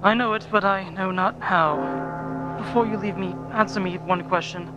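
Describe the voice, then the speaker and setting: Radio play old miser, acted by a man: very high male voice, earnest, repentant, music underneath. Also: normal speed, highs cut off, lightly acted. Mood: fearful, subdued. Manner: earnest, pleading. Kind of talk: theatrical radio play acting.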